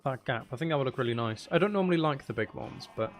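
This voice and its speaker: A man's voice: medium pitch, expressive, fast talker. A man thinking aloud as he plays a building game, chatting casually with musing, playful asides. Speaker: streamer playing a building game.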